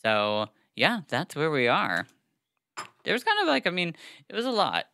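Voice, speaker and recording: A man's voice: on the high side, wildly swooping pitch, moderate pace, gravelly, bright, forceful tone, slight background noise. A young man co-hosting a podcast, chatting casually about a book with many fillers and restarts, on a studio mic. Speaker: podcast co-host. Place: small studio, seated. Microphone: studio mic on boom arm.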